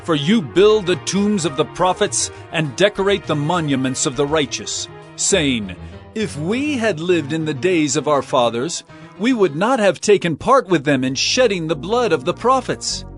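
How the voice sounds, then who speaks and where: Male voice, stern prophet, declamatory, fairly high, widely swinging pitch, audio drama, music behind. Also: lively intonation, unhurried. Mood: scathing, stern. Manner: declamatory, solemn, emphatic. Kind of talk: dramatized scripture reading.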